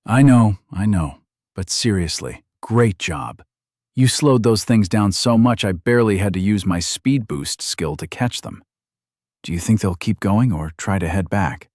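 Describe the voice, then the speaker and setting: Earnest, pushy young male sidekick, low-voiced, read by a male narrator. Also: lively intonation, a little slow, darkish tone, no room sound, clean studio sound. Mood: pressing.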